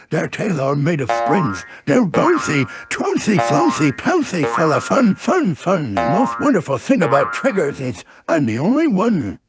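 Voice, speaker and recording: A man voicing an exuberant, boastful and friendly cartoon tiger for a children's storybook. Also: fairly high pitch.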